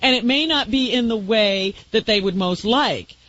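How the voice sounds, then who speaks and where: A woman's voice: on the high side, moderate pace, fairly bright tone, no room sound, dull audio. A woman co-hosting a radio show, talking casually and reflectively in discussion. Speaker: radio co-host.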